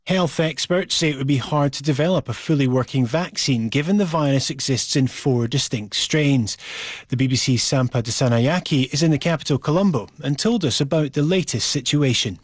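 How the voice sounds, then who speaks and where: A man's voice: medium pitch, moderate pace, no echo, clean studio sound. A man presenting scripted broadcast news as a programme anchor.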